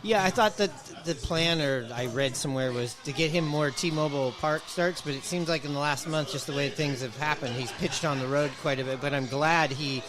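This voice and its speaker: A man's voice: fairly high pitch, normal speed, few pauses. A man hosting a podcast, chatting casually about sports in a conversational way. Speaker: podcast host.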